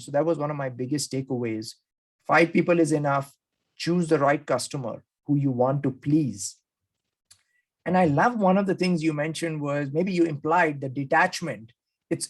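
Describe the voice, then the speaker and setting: A man hosting an interview, enthusiastically recapping his takeaways and acting out quoted speakers. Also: fairly high pitch. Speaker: podcast host.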